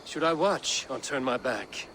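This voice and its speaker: An actor playing an honorable, plain-spoken Viking warrior in a video game, earnest and gently teasing. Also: male voice, mid-range voice, animated, a little slow, a little hiss or hum. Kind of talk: dramatic medieval video game dialogue.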